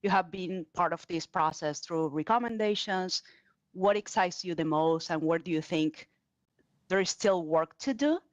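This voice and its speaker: A woman's voice: fairly low pitch, moderate pace, no echo. A woman asking questions as a financial analyst over a video call. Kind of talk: prepared questions on a conference call. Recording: dull, lo-fi video call audio.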